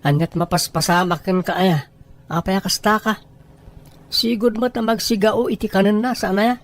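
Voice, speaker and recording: A woman's voice: mid-range voice, fairly even intonation, normal speed, close to an everyday voice. A woman with an Ilocano Filipino accent voicing a plain-spoken, mildly exasperated husband in a homespun audio drama. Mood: puzzled, exasperated. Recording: faint background noise.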